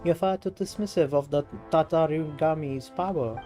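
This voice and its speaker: Man reading as impatient shrine maiden, Indian accent, scolding, haughty, lightly high pitch, game music. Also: moderate pace, natural voice. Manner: lecturing, sharp-tongued.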